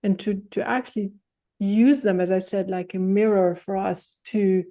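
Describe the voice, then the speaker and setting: A woman's voice: mid-range voice, fairly even intonation, moderate pace, mellow. A woman hosting a podcast, asking long, thoughtful questions and often restarting as she builds them. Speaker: podcast host. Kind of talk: interview questions.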